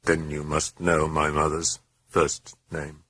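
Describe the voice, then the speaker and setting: A man's voice: sits low, very animated, normal speed, a bit bright, breathy edge, no echo, heavily acted. A man voicing a sceptical, controlled priest in an audiobook, terse and questioning. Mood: numb. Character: sceptical, probing male priest, controlled and firm. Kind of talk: audiobook character voice.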